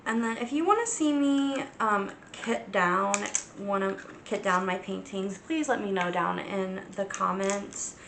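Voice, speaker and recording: A woman with a cold chats slowly through her crafting vlog, stuffy and sniffling. Her voice has a gravelly, fried edge. She keeps stopping on ums and starting her sentences again, with a faint room hum underneath. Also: mid-range voice, fairly bright tone, reverberant. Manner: unhurried, many ums and restarts. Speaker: crafting channel host. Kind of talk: casual show-and-tell vlog.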